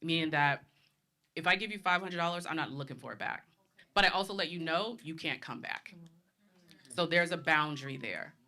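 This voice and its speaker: A woman's voice: low voice, moderate pace, pushed and bright. A woman in her thirties from the Bahamas, a panelist speaking bluntly and confidently in a live discussion.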